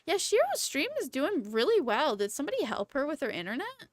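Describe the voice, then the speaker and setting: A woman in her twenties chatting casually on a livestream in a rambling, playful, excitable and slangy way. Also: high-pitched, a little fast, no room sound, clean studio sound. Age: 20s. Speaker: livestreamer, VTuber host.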